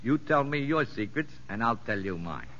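A man's voice: mid-range voice, expressive, moderate pace, a bit bright, close to an everyday voice. An American man playing a cocky, nosy veteran convict safecracker with brash, slangy wisecracks in old radio comedy-drama style. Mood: nosy, wary. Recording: muffled old radio broadcast.

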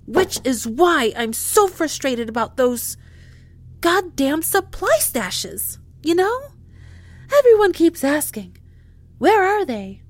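Chatty emphatic lady running moon base supplies, high swoopy voice, takes her time. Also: heavily acted. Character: chatty, frustrated woman inventory chief of a moon base. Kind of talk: audio drama acting.

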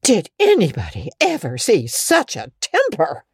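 A blunt village matron acted by a woman for a storybook reading, haughty and quick to be scandalized. She judges emphatically, with big swoops of pitch and a very dry, close sound. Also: huge pitch swings, darkish tone, husky, no room sound, exaggerated voice. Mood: indignant. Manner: emphatic, outspoken, judgmental. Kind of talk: dramatic audiobook character acting.

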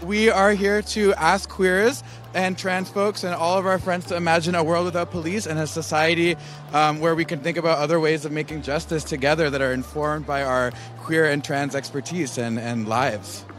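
A man speaking to a reporter outdoors at a street march, measured and slightly halting as he explains his group's aim. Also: a little high, steady tone, a bit bright, a little hiss or hum. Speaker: protest organizer.

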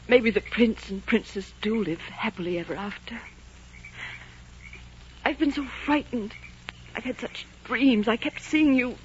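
A woman's voice: fairly high pitch, close to an everyday voice. A woman playing a passionate young innkeeper's daughter in love, fervent and melodramatic in 1940s radio drama style. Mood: tender, relieved. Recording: muffled, noisy 1940s radio recording.

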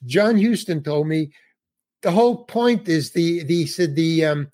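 A man's voice: on the high side, unhurried, a little mellow. A man, an older actor, telling a rambling showbiz anecdote in a casual interview, restarting sentences and acting out quotes. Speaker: guest actor.

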